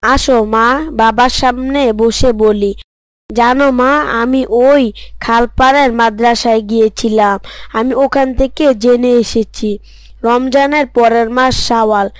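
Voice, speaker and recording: A woman's voice: a little high, fairly even intonation, takes it slowly, dry recording. A woman voicing a dutiful young son, a pious madrasa pupil, reciting carefully in a scripted Islamic story. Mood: dutiful, earnest.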